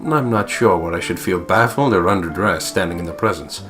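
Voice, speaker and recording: Deep-voiced man reading a story aloud. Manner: deliberate, storyteller-like, playful putting on of voices. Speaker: gaming video co-player reading the text aloud. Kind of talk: dramatic read-aloud narration of a visual novel. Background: game music.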